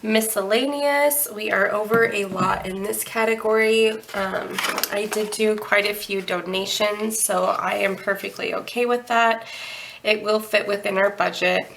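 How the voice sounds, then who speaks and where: A woman hosting a budgeting walkthrough, stuffy and nasal from a head cold, talking in an unhurried way with frequent ums and throat clearing. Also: mid-range voice, steady tone, fairly bright tone, slight background noise. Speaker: budgeting channel host.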